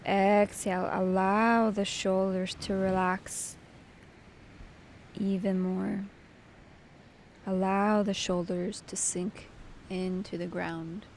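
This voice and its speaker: Slow, mellow woman guiding meditation. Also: medium pitch, little pitch movement, a little hiss or hum. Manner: soothing, gentle, unhurried instruction. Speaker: meditation guide. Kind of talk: guided meditation.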